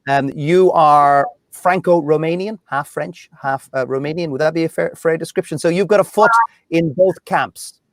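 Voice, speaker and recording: Polite panel moderator, dry close sound. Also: male voice, fairly high pitch, moderate pace, no room sound. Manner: polite, conversational.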